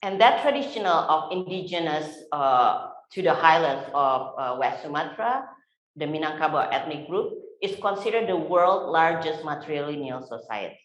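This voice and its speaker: Indonesian-accented woman panelist, low voice, easygoing storytelling with fillers, roomy hall sound. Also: expressive, lo-fi sound. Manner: unhurried, frequent fillers, warm laughs. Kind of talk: personal storytelling at a symposium. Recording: echoey lecture hall.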